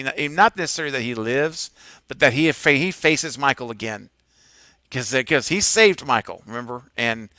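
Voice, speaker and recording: A man's voice: medium pitch, pushed and bright, dry recording. A man appearing as a guest on a live stream, giving his opinions at length in a playful, joking manner. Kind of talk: casual live-stream chat.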